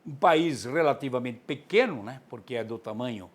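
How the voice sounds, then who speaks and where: A man's voice: mid-range voice, lively intonation, moderate pace. Earnest older Brazilian man. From southern Brazil. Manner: earnest, enthusiastic; frequent tag "né". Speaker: interviewee, author. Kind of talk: interview answer.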